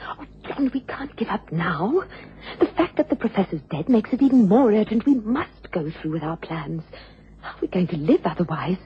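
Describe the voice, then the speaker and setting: A woman's voice: mid-range voice, big pitch swings, normal speed, natural voice. Casting: woman playing devoted, anxious wife with a secret, earnest radio mystery acting, dulled noisy recording. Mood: resolute, intense. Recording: muffled radio recording with background noise.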